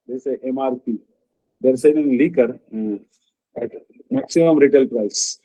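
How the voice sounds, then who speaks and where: A man giving a devotional discourse as a spiritual teacher, halting often and checking in with the listeners. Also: mid-range voice. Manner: halting, with frequent "uh" and questioning "huh?". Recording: dull lo-fi recording.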